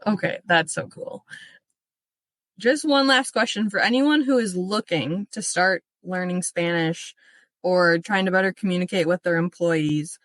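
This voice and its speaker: A woman's voice: on the low side, unhurried, fairly bright tone, dry recording. A woman hosting a podcast who gives short, encouraging reactions and asks her guest questions. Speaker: podcast host. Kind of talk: casual podcast interview.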